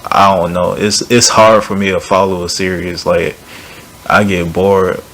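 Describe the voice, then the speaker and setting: A man's voice: low-pitched, measured pace. A young man, a university student, talking casually to his viewers about his language learning goals, loose and rambling with restarts. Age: young adult. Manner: relaxed, rambling, with fillers and restarts. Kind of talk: casual vlog talk to camera.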